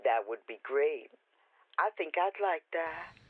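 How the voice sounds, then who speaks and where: A woman's voice: low voice, lively intonation, takes it slowly, no echo, full character voice. A woman playing a lonely, frightened older Texan with a folksy, plain-spoken, rambling manner over the telephone. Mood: softened. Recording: narrow, tinny telephone line.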